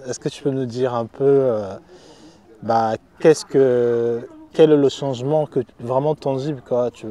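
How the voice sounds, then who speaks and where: A man's voice: mid-range voice, fairly even intonation, measured pace, mellow. A man working as an interviewer, asking long, meandering questions in a casual, conversational way.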